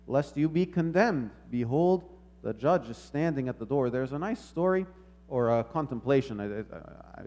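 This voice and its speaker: A man's voice: medium pitch, darkish tone. A man preaching a sermon in an instructive way, repeating phrases and checking in with short questions. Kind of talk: sermon with scripture readings and stories.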